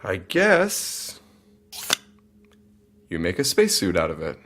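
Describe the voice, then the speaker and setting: An actor playing a reserved security rookie in an audio drama, speaking in a terse, understated way. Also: male voice, low-pitched, huge pitch swings, takes it slowly, noisy background, full character voice. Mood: cautious. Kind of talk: modern audio drama acting.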